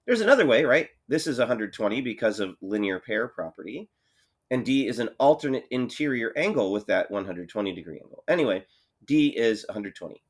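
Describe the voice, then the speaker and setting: A man's voice: medium pitch, a little fast. Congested man teaching with a cold. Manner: relaxed, thinking aloud with many fillers. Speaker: math teacher. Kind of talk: recorded lesson walkthrough.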